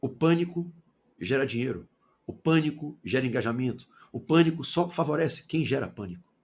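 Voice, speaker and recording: A man from Salvador with a Bahian accent giving an opinionated football commentary monologue, firing rhetorical questions and swinging between loud and low. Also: lively intonation, normal speed. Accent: Bahian Portuguese. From Salvador, Bahia, Brazil.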